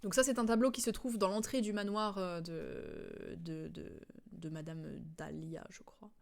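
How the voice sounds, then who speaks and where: A woman's voice: lowish voice, steady tone, measured pace, creaky. A woman streamer giving casual game commentary, explaining the story in a hesitant, digressive way.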